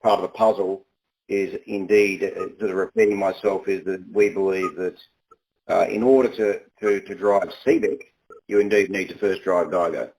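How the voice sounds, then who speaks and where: A man's voice: deep voice, steady tone, normal speed, darkish tone, poor audio. A man speaking as a company executive on an earnings call, answering in corporate phrasing with frequent halts and repeated words.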